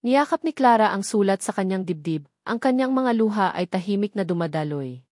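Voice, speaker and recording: A woman reading a scripted dramatic story in a measured, solemn storytelling manner. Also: medium pitch, animated, a little mellow, dry recording, studio quality. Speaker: story narrator.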